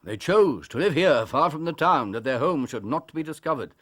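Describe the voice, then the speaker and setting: An actor playing a grave, proud, compassionate older English doctor in a radio drama, speaking in an earnest, formal, emphatic way. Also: male voice, fairly high pitch, expressive, normal speed, a bit bright. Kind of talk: British radio drama acting.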